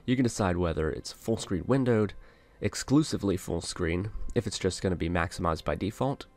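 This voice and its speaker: Deep, gravelly male tutorial narrator. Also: fairly quick, darkish tone. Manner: explanatory, step-by-step. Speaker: software tutorial instructor. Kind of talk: casual screen-recorded tutorial narration.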